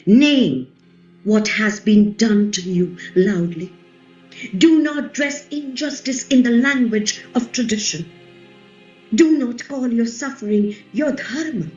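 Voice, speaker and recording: Woman acting a proud vengeful Indian epic queen, slightly high voice, roomy muffled recording, radio play, emphatic. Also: a little mellow. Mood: commanding. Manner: slow, declamatory, emphatic. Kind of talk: dramatic play reading, radio play.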